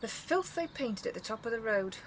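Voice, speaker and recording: An actress playing a suspicious, stubborn and blunt long-time resident in a naturalistic audio drama. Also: female voice, fairly high pitch, animated, a little fast, slight background noise, lightly acted.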